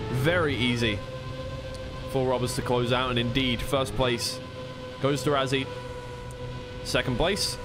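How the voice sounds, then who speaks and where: A man doing live racing game commentary as a caster, mixing play-by-play with informal chat and thinking aloud. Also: mid-range voice. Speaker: esports caster on a co-stream. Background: racing game car sounds.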